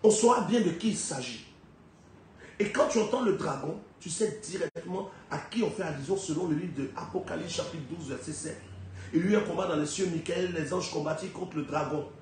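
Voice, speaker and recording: A man with a fairly high voice and a head cold delivers a sermon, pressing his points with rhetorical questions. His delivery climbs to loud, high peaks and then drops low and quiet. The voice rings through a very echoey hall, with a faint hiss of noise behind it. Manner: emphatic, rhetorical questions, big swings in pitch and loudness. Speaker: preacher.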